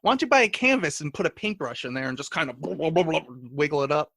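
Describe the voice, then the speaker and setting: A man's voice: fairly high pitch, sing-song, fast talker, creaky, a bit bright. A man co-hosting a comedy podcast as a guest, chatting casually and playing along with the bits in an easygoing way. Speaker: guest co-host.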